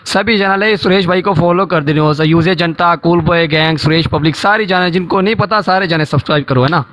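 A man's voice: high-pitched, brisk, fairly bright tone, no room sound. A young man on a headset hosting a casual live stream video call, thanking and urging viewers to follow. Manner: pleading with viewers, repeating thanks. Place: indoor room, video call.

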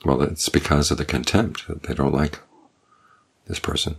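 An older man, a Buddhist monk, giving a calm, measured evening Dhamma talk with unhurried pauses. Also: a bass voice, gravelly, husky. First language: English.

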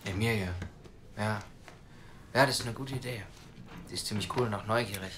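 A man's voice: lowish voice, brisk, fairly bright tone, slight background noise, close to an everyday voice. An actor playing an earnest, polite but frustrated young male adoption applicant in an audio drama, with a hesitant, conversational manner. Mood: deflated. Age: young adult. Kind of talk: naturalistic audio drama acting.

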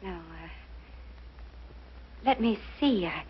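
A woman's voice: fairly low pitch, animated, slow, breathy edge, exaggerated voice. An actress playing a gracious lady who stays composed under strain, restrained, polite and hesitant, in 1950s mystery drama. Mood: composed, uneasy. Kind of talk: 1950s television mystery acting. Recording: dull 1950s soundtrack with faint music.

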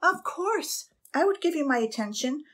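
A woman voicing a gentle young woman with a soft, breathy, lilting voice, pitched high. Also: expressive, normal speed. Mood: sweet.

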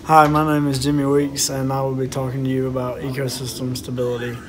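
A teenage boy reading a school presentation in an even, careful way. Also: mid-range voice, quick pace, crowd noise. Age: teens. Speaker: student presenter.

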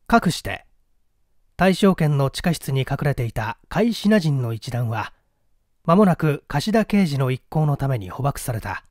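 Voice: mid-range voice, big pitch swings, measured pace, darkish tone, no room sound, clean studio sound. A narrator reading a mystery story aloud in a dramatic scripted style.

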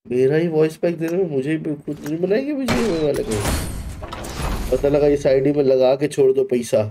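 A man's voice: fairly high pitch, moderate pace, background noise. A man in his thirties with an Indian accent, chatting casually with viewers as a gaming live streamer, in an informal manner. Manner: informal, code-switches between Hindi and English. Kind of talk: casual livestream chat, reading and answering viewers. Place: small gaming room indoors.

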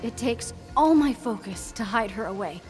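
A woman's voice: fairly high pitch, lively intonation, normal speed, lightly acted. A woman voicing a troubled, earnest young woman in a video game, speaking as if in warning. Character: troubled young woman struggling with the demon inside. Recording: loud music behind the voice.

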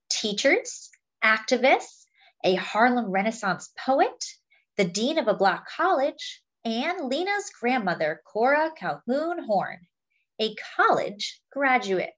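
A woman with a fairly high voice reads a picture book aloud for children. Her pitch moves up and down in a very animated way, and she phrases each line deliberately, like a teacher at story time. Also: lo-fi sound.

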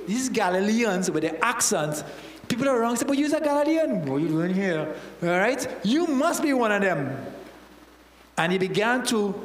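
A man in his fifties with a Trinidadian accent, preaching a sermon into a handheld mic and acting out Bible characters with local slang. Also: sits high. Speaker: church elder preaching. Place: church hall with stage. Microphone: handheld microphone. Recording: roomy church hall reverb.

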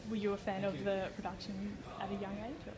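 A woman's voice: mid-range voice, completely flat delivery, brisk, over a noisy crowd. A woman asking casual questions as an interviewer. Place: large indoor hotel lobby. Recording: noisy room ambience.

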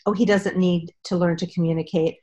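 A middle-aged woman speaking as a guest expert on a video call from a room at home, explaining in a measured way with frequent ums. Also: on the low side, steady tone, mellow, muffled recording. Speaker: speech-language pathologist guest.

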